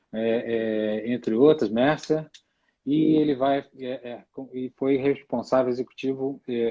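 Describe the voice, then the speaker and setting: Husky man hosting an online chat. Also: mid-range voice, warm tone, dull audio. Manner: loose, frequent fillers and tag questions. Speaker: host. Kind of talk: hosting a casual online talk.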